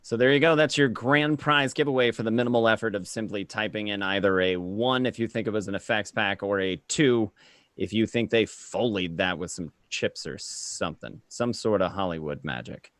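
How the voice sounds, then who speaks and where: Low-voiced man hosting, dry close sound. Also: normal speed, a bit bright, no echo. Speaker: host. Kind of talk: casual live show hosting.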